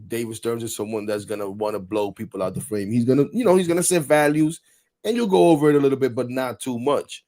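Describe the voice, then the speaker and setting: A man in his forties hosting a casual sports talk show, animated and rambling, repeating words and laughing often. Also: medium pitch. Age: 40s. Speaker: podcast host.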